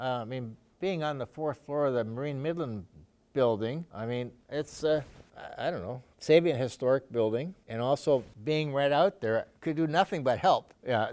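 A man's voice: medium pitch, darkish tone. A middle-aged man from Canada giving a casual interview, rambling with many fillers and restarts. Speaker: donor interviewee. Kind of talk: conversational interview.

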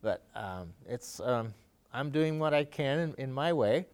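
A middle-aged man talks in a loose, conversational way, circling back with "you know" and false starts. His voice sits at an ordinary middle pitch. He sounds unhurried and sincere as he explains himself. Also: animated, a little mellow, clear, resonant tone. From Canada. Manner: rambling, full of fillers and restarts. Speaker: donor interviewee. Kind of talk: conversational interview.

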